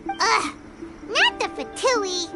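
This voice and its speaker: A tiny, chatty fairy companion from a video game. Her voice is extremely high and childlike, swinging widely in tone as she exclaims. Loud music plays underneath. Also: big pitch swings, slow, heavily acted. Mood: indignant, amazed. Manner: exclamatory, refers to self in third person. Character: small excitable childlike female fairy companion, chatty and indignant. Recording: music playing behind the voice.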